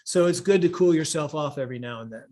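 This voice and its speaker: A man's voice: a little high, quick pace, a little mellow. A man informally teaching about wellness on a live online call, rambling a little, repeating phrases and listing examples as he answers. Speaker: wellness teacher and event leader.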